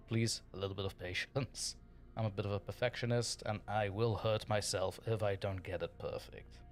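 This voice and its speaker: A man hosting a livestream, chatting casually and playfully with his audience and reading their comments aloud, often chuckling. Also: mid-range voice. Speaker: livestream host reading chat.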